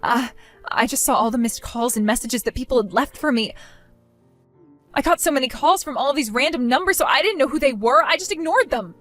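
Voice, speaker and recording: A woman's voice: high voice, animated, a little fast, a bit bright, faint music behind. A woman playing Annie, a whiny self-absorbed bride. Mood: defensive. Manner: whiny, pleading, self-centered. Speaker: bride, younger sister. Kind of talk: scripted dramatic dialogue.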